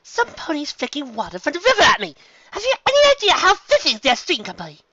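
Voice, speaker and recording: A man voicing a prim, fastidious, dramatic female unicorn in a storybook reading, in dull audio. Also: very high voice, huge pitch swings, bright, forceful tone, pitched above the performer's own voice, full character voice. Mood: indignant.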